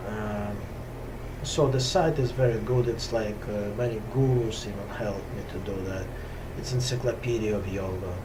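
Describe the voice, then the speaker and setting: A man from Russia speaking English with a Russian accent, talking slowly and hesitantly with many pauses and fillers in a casual spiritual talk to camera. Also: on the low side, background noise. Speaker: yoga teacher. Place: top-floor room at home on a hot day.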